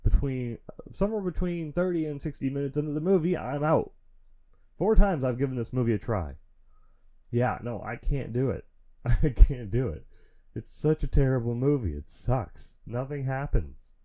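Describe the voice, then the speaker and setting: A man's voice: expressive, normal speed, mellow. A man hosting his own show, rambling casually and frankly while feeling sick and stuffy, into a studio mic at home. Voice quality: naturally deep voice. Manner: rambling, frank, chuckles often. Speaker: podcast host. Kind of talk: casual solo chat. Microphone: studio mic through audio interface.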